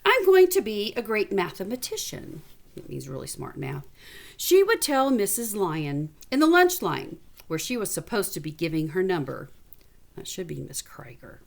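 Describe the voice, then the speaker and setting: Animated woman teacher reading a storybook. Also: low voice, moderate pace, gravelly. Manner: folksy, informal, encouraging, with expressive reading. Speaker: elementary school teacher reading aloud to pupils. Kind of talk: storybook read-aloud with chatty asides. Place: small space away from school, at a little desk.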